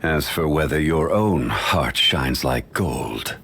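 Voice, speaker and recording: An actor voicing a dignified, ancient, learned man in a video game, speaking in a measured, formal and courteous way. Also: extremely low pitch, expressive, vocal fry, sharp tone, a little hiss or hum, exaggerated voice. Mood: calm, formal.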